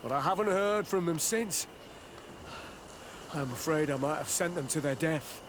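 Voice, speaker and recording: A man's voice: a little high, moderate pace, background noise, lightly acted. A man voicing a dutiful, worried military officer of a besieged outpost in a video game, earnest in manner. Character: worried male outpost officer, dutiful soldier under siege. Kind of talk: video game cutscene acting.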